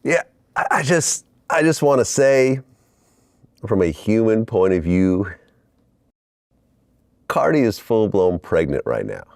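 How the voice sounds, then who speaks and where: A man's voice: fairly low pitch, big pitch swings, slow, vocal fry, pauses often, a little mellow, no echo, clean studio sound. A man hosting a casual interview, laying out the background in a conversational way. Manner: conversational, lays out background before asking. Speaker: interviewer, host.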